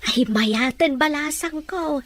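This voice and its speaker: A woman's voice: high voice, slow pace, a bit bright. An actress playing an ailing, affectionate elderly mother in a Filipino radio drama. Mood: grateful.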